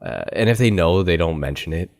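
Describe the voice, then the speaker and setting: A man hosting a podcast interview, relaxed and self-deprecating, hesitating with fillers. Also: sits low, fairly quick, vocal fry. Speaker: podcast host. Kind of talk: casual interview chat.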